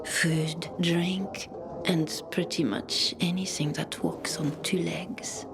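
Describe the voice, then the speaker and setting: Haughty gatekeeper secretary, deep female voice, formal and aloof, for a video game. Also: fairly even intonation, takes it slowly, creaky, whispered, over music. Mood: aloof, dismissive. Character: haughty, guarded female secretary to a powerful boss.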